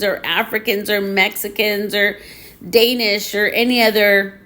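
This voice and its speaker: Slow-paced woman reading devotional text aloud. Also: mid-range voice.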